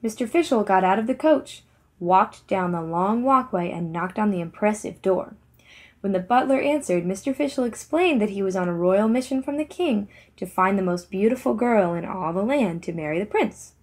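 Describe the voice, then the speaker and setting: A woman narrating a children's fairy tale in an expressive, deliberate storybook manner. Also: mid-range voice.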